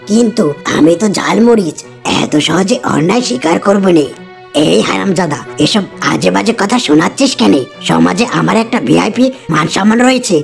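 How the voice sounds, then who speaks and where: Creaky-voiced haughty tigress played by a woman. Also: medium pitch. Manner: indignant, self-important. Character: shrewish, haughty, scheming tigress mother.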